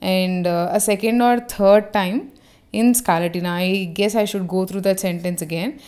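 A woman with a smooth, clear voice of middle pitch, talking close to a podcast microphone. She reads out a short passage, then explains it in a loose, lecturing way. Her talk is full of "uh" and small self-corrections. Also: steady tone, normal speed, a little mellow. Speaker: homeopathy teacher. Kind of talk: reading and explaining a reference text.

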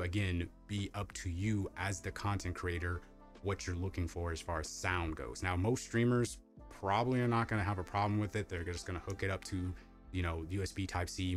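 Young man, very deep voice, casual tech review, filler-heavy, home stream room, faint background music. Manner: rambling, many fillers and restarts. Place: home streaming setup with colorful lights.

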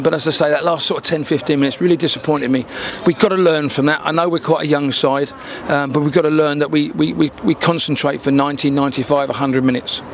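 A man's voice: on the high side, a little hiss or hum. An older man in his sixties, a football manager giving a candid post-match interview outdoors into a handheld mic, often repeating words as he restarts. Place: outdoors by a dark wall at a football ground.

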